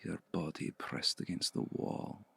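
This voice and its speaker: A man playing a seductive, commanding male vampire in an intimate ASMR roleplay, speaking slowly and deliberately in a hushed, breathy voice close to the mic. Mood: menacing, hypnotic. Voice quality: low, gravelly, breathy. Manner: slow, deliberate, hushed, often breathy near-whisper. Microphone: close mic, dry.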